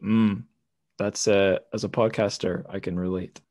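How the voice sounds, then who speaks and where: A man hosting a book podcast, talking in a relaxed, friendly way with small fillers. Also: on the low side, measured pace, dry recording, clean studio sound. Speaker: podcast host. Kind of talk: casual podcast interview.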